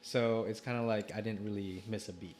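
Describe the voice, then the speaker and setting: A young man from the Philippines, telling stories in a relaxed podcast chat with many fillers. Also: lowish voice, a little fast. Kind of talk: casual chat.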